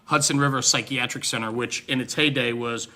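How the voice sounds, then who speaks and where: Very bright, forceful male podcast host. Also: medium pitch, fairly even intonation, a little fast. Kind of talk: casual interview, explaining for listeners.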